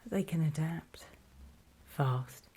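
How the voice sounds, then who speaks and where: A woman playing a wary, paranoid zombie apocalypse survivor in a horror ASMR roleplay, in a soft breathy whisper with hesitant, confiding delivery. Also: low-pitched, exaggerated voice. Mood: hushed, wary warning. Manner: slow, hesitant, confiding, with pauses.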